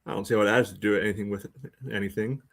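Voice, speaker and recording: A man's voice: on the low side, steady tone, fast talker, fairly bright tone. A man with an American accent co-hosting a sports podcast in casual chat, with a dry, understated way of talking.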